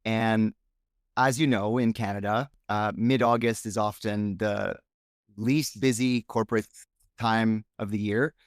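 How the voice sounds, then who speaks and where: A man born in Romania, speaking English as a later language, talks frankly and reflectively as a podcast guest. His voice sits fairly low, and the sound is dry and close with little room in it. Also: steady tone, measured pace, clean tone, dry recording. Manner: frank, reflective, thinks aloud. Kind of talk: casual interview chat.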